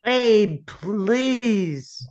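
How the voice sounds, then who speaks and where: A woman's voice: mid-range voice, animated, drawn-out words, darkish tone, lo-fi sound. A woman at a script table read playing an exasperated older wife and mother.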